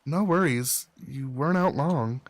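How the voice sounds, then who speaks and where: Man voicing Sam, a calm young woman. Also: medium pitch, measured pace, no room sound, natural voice. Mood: calm, reassuring.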